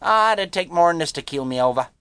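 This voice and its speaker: Man voicing a cocky, high-pitched American teenage boy. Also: animated, fast talker, fairly bright tone, clear, resonant tone, dry recording. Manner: slangy, cocky, showing off. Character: brash, boastful rich American teenage boy.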